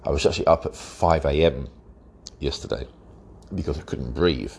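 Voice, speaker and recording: Male podcast host, rumbling low and a little rough, blocked nose, unhurried and wry. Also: a little mellow. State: congested, stuffy-nosed from hay fever. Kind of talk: solo podcast commentary.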